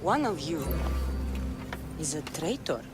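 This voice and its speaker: A woman playing a cold, commanding cult member in a dramatic video game, speaking in a deliberate, declamatory way over loud music. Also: low voice, sing-song, exaggerated voice. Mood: cold, menacing.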